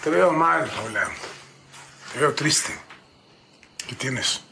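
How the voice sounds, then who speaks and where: A man's voice: on the high side, animated, very slow, vocal fry, bright, forceful tone, slight background noise, exaggerated voice. An actor around forty playing a brooding, tender man in love, with a Mexican accent, in a telenovela. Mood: concerned. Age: 30s to 40s. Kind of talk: telenovela acting. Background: music.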